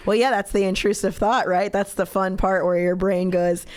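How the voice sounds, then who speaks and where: A woman's voice: mid-range voice, fairly even intonation, normal speed. A woman comedian talking casually as a podcast guest, blunt, wry and profane.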